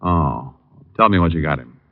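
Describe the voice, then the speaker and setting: Cool, dry-witted radio detective; mid pitch, dull lo-fi old broadcast. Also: male voice, expressive, moderate pace, gravelly, no room sound, full character voice. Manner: dry, wisecracking, coolly interrogating. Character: wisecracking male private eye, adult, cool and cocky. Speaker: private detective Richard Diamond. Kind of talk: 1940s radio acting.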